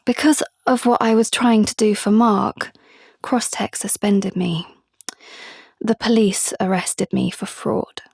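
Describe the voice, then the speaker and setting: A woman's voice: medium pitch, steady tone, slow, creaky, darkish tone, slightly rough, dry recording, studio quality, exaggerated voice. An actress playing a regretful, earnest young mother confessing in an audio play monologue. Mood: earnest, reflective. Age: young adult.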